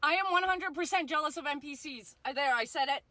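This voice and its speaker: A woman's voice: high-pitched, a little fast, dry recording. A young woman ranting in a casual vlog, chatty and filled with "like" and asides. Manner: chatty, animated, lots of "like" and laughs.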